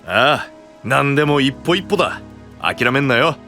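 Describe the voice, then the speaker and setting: Gravelly, frank young tough guy. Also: mid-range voice, animated, measured pace, pushed and bright, faint music behind. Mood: encouraging. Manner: blunt, rough-spoken, encouraging. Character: gruff, frank, big-brotherly young man. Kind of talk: anime audio drama acting.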